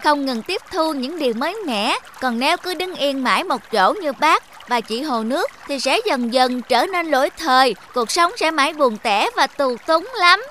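High, childlike little stream. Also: female voice, moderate pace, few pauses, slight background noise. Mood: argumentative. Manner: lively, childlike. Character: restless, cheeky young stream, childlike, eager to explore. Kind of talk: cartoon fable voice acting.

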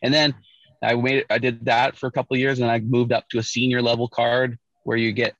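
A man's voice: mid-range voice, brisk, no room sound. A middle-aged man from Saskatchewan, Canada, telling his story casually on a video call from inside a parked car, with a lot of restarts and fillers. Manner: relaxed, with many restarts and fillers. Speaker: Olympic bobsledder guest. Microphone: video call, laptop or phone camera. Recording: muffled dry video-call audio.

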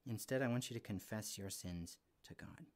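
A man's voice: on the low side, moderate pace. A man voicing a friendly young puppet kid, speaking casually and earnestly as he gives a devotional for children. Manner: chatty, earnest, informal with frequent "like".

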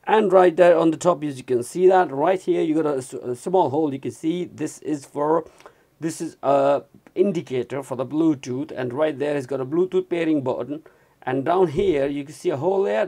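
Male product reviewer, slightly high pitch, informal hands-on demo delivery. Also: darkish tone. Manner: informal, repeatedly addresses viewers as guys. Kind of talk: hands-on gadget review demonstration. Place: indoors at a desk.

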